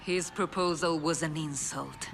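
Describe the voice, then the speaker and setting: An actress playing a proud, ruthless crime boss in a video game cutscene, with a cold, formal, commanding manner. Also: female voice, sits low, fairly even intonation, measured pace, creaky, background noise. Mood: proud scorn. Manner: imperious, cold, formal, threatening. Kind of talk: video game cinematic voice acting.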